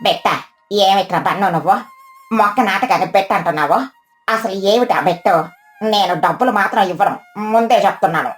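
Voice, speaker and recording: A woman's voice: medium pitch, fairly quick, faint music behind, close to an everyday voice. A woman voicing a blunt, boastful mother crow in a children's cartoon. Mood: wary, teasing. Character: bossy, competitive adult female crow, a mother.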